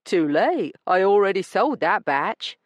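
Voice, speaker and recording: A woman reading a smirking, insolent male crook in a drawling, lower-class manner, in a dry recording. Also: big pitch swings, unhurried, no echo.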